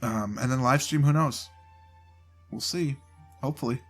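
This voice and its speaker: Guy with a stuffy head cold doing a channel update, mid pitch, chatty and rambly, some quiet music behind. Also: moderate pace. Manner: rambling, loose, self-interrupting. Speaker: gaming channel host. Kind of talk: casual solo channel update. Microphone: home desk mic, voice only.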